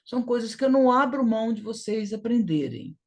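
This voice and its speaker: A woman's voice: fairly high pitch, big pitch swings, brisk, creaky. A woman with a Brazilian Portuguese accent giving an informal English lesson, sounding out syllables emphatically and repeating key words. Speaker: English teacher.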